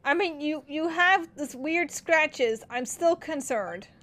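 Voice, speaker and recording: A woman streaming a game playthrough, reading dialogue aloud and commenting in a casual, expressive way. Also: high-pitched, slow pace. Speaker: gaming streamer. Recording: game music behind the voice.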